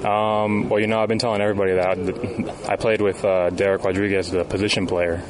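A man's voice: fairly low pitch, level tone, fairly quick. A young man from South Florida, a baseball player, answering interview questions with many ums and restarts. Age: 20s. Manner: hesitant, many fillers. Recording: outdoor noise behind.

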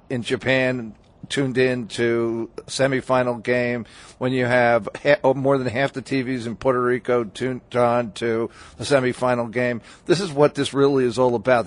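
A man, a baseball writer, chatting as a guest on a radio show, rambling with many restarts and fillers. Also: mid-range voice. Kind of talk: casual radio interview chat.

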